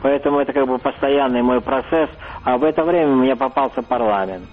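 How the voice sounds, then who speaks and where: An older man, a photographer, answering interview questions haltingly, often restarting and repeating words. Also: medium pitch, slight background noise. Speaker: photographer interviewed about his exhibition. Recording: muffled phone-like line.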